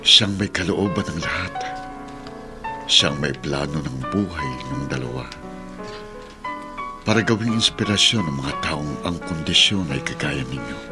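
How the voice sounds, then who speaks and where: An actor playing a kindly, devout older uncle in a Filipino radio drama, speaking in a gentle, solemn, reassuring way. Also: male voice, extremely low pitch, animated, unhurried, long pauses, over music. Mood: solemn, hopeful.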